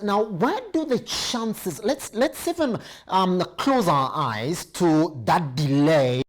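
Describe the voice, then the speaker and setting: Husky, very low-voiced news anchor woman. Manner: reads headlines, then poses questions. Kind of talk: business news presenting.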